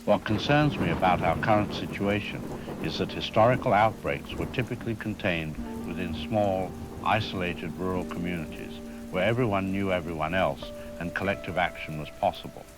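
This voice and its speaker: A man playing a grave academic historian in a radio drama, speaking in a formal, measured, lecturing way. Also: low voice, over music, natural voice, rough recording. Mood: grave, warning. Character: grave male academic historian expert on vampire folklore. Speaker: expert interview guest.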